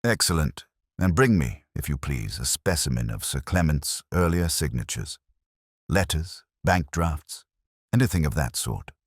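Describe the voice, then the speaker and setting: A man voicing an incisive Victorian detective in an audiobook, with formal, measured, probing questions. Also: a bass voice, big pitch swings, husky, dry recording, clean studio sound, heavily acted. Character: Victorian male detective, incisive, intrigued and commanding.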